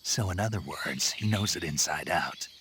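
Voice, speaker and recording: Terse male soldier in a game; very deep, hard-edged, clipped delivery. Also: lively intonation, moderate pace, bright, forceful tone, slight background noise. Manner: gruff, clipped, dry.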